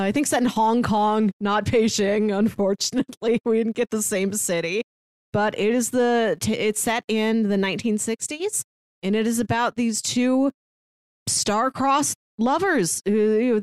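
A woman's voice: a little high, normal speed, no echo. A woman co-hosting a podcast in casual, conversational style. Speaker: podcast co-host.

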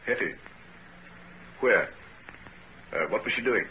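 An earnest secretive young gent in a 40s radio play, lively pitch, muffled old recording. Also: male voice, slow, a little hiss or hum. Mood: casual, guarded. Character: earnest, secretive gentleman, soon to be married. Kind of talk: 1940s radio drama acting.

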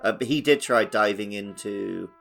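A man's voice: on the low side, moderate pace, creaky, a bit bright, faint music behind. A man co-hosting a gaming podcast, chatting casually in a wry, conversational way. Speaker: podcast co-host.

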